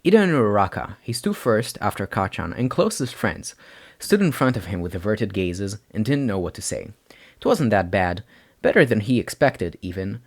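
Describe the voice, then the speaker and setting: A man's voice: fairly low pitch, very animated, moderate pace. A man reading a story aloud as scripted narration, as an amateur audiobook reader.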